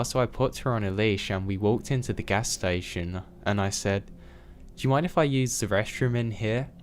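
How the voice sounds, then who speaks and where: Low-voiced man narrating scary stories. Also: a little hiss or hum. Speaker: storyteller. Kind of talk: reading true scary stories aloud.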